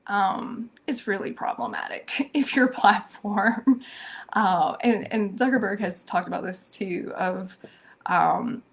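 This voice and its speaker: A hoarse woman hosting a live-streamed social media commentary, thoughtful and full of ums and restarts. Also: mid-range voice, takes it slowly. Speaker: social media show host.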